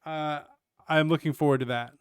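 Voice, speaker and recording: Man, casual stream commentary, wry asides, clean smooth voice, close dry mic. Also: fairly high pitch, fairly even intonation, moderate pace, no room sound.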